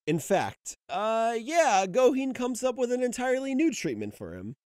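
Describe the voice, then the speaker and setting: A man's voice: very high voice, sing-song, normal speed, darkish tone, clear, resonant tone, no room sound, clean studio sound. A young man giving casual scripted manga commentary as a video essay host, informal, sweary and emphatic. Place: voice-over. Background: faint music.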